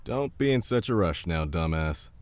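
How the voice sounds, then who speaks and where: A man playing a gruff, bossy, battle-scarred survivalist in an audio drama, blunt, teasing and swearing. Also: low voice, expressive, moderate pace, a little mellow, no echo. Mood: bossy, confident.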